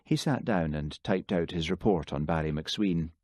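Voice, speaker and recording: A man reading an audiobook with steady, even narration. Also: deep voice, normal speed, no room sound. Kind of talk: scripted narration.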